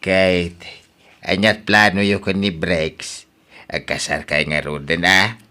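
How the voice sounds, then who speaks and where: A man's voice: low-pitched, steady tone, takes it slowly, bright, forceful tone, heavily acted. A man voicing a stern aging father of a grown daughter, blunt and scolding, in an audio drama. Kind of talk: Ilocano audio drama acting.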